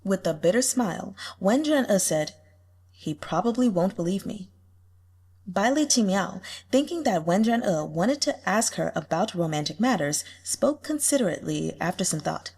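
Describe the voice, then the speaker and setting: Casting: female audiobook storyteller, mid-pitched, lively intonation, slightly husky, expressive scripted delivery. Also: normal speed, hardly any pauses. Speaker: audiobook reader.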